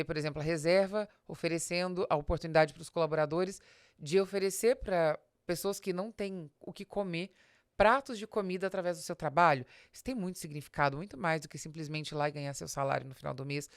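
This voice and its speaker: Woman, Brazilian accent, slightly low pitch, dry studio-like sound, didactic, emphatic, informal business monologue. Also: dry recording. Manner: didactic, emphatic, addresses the listener directly. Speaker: podcast host and business strategist.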